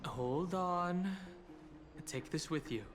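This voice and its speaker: A man voicing a calm, reserved young scholar in a video game, quiet and measured. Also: a little high. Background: game music.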